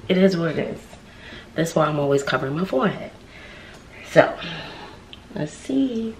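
A woman's voice: deep voice, sing-song, normal speed. A middle-aged American woman chatting casually to camera in a sassy, emphatic, comedic way, repeating herself for effect. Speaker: vlogger. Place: indoors at home, mostly in a kitchen. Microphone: handheld or nearby camera at arm's length. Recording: echoey room with clear background noise.

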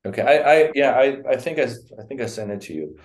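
A man's voice: mid-range voice, expressive, fast talker, darkish tone. A middle-aged man with a Dutch accent, a guest speaking on a video call, hesitant with many fillers and restarts. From Netherlands. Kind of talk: casual interview. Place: home room.

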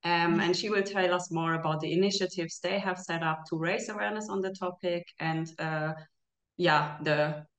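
A young woman moderates a webinar through a headset, friendly and relaxed, introducing speakers. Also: fairly low pitch, normal speed. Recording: roomy video call audio.

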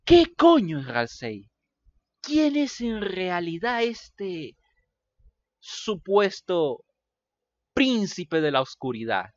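A man's voice: high voice, big pitch swings, extremely slow, long pauses, dry recording. Emphatic man commenting, dull lo-fi sound. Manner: emphatic, repeats questions with mild swearing. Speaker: gameplay narrator and fandub host. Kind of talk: commentary, theorizing to viewers.

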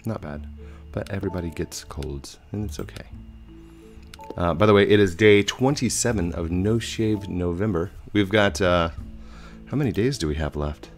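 A man in his thirties casually narrating a video game as he plays, chatty and rambling, a little hoarse and congested from a cold, on a headset mic at home. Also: deep voice, normal speed, soft background music. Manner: chatty, rambling, with frequent fillers. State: slightly hoarse with a head cold. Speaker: gaming channel host. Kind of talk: casual let's play commentary.

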